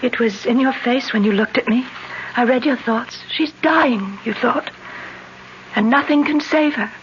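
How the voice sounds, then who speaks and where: A woman's voice: on the high side, unhurried, lightly acted. An actress playing a frail, dying young woman, fearful and resigned, in a radio horror drama. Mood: resigned, despairing. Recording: dull lo-fi old radio recording, faint noise.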